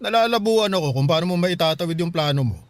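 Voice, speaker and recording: An actor playing a caring, baffled father in a radio drama, questioning hesitantly with gentle exasperation. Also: high voice, expressive, fast talker, no echo. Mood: concerned. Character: worried, baffled, caring middle-aged father. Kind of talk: Tagalog radio drama acting.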